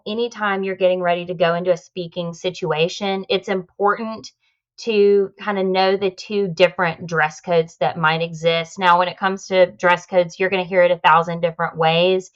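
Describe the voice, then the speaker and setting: A woman guest on a podcast, calmly giving practical advice on public speaking in a polished, confident way. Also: fairly low pitch, highs cut off.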